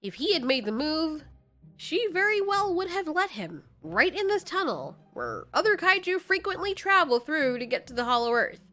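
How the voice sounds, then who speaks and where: A woman's voice: high voice, very animated, normal speed, sharp tone, soft background music. A woman storyteller giving dramatic scripted narration in an informal, candid way.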